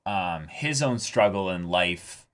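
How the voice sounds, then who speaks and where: A man co-hosting a reaction show, casually analysing a story in an explanatory way, often halting to search for words. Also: low voice, lively intonation. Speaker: co-host of an anime reaction show.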